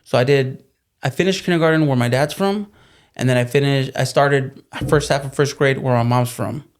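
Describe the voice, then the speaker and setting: A man's voice: mid-range voice, normal speed. A man whose first language was Spanish, a podcast guest chatting casually and rambling with lots of fillers and restarts. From California. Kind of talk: casual interview chat.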